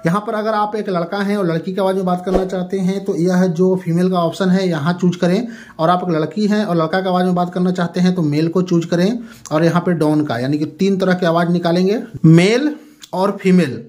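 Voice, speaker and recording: A man's voice: high voice, fairly even intonation, a little fast, a little mellow. A man hosting a tech tutorial, explaining an app step by step in a repetitive, instructional way.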